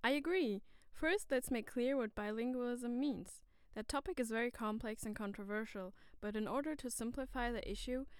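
A woman's voice: a little high, clean tone, no echo. A woman from Germany co-presenting a scripted educational dialogue in an articulate, formal, explanatory way. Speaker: co-presenter in a discussion on bilingualism.

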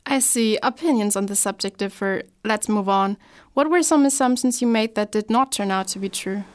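Young female talk-show host, clear smooth tone, bright scripted delivery. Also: medium pitch, normal speed.

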